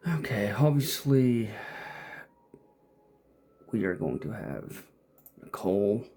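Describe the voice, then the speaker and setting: A man's voice: on the low side, very animated, slow, crowd noise. A man giving casual, off-the-cuff gameplay commentary, muttering and thinking aloud to himself as he plays. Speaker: gamer playing and commenting.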